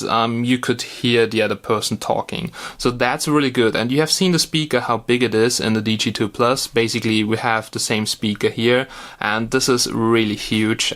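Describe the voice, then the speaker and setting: A man's voice: medium pitch, a bit bright. Casual, blunt male gadget reviewer. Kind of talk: casual unscripted product review commentary.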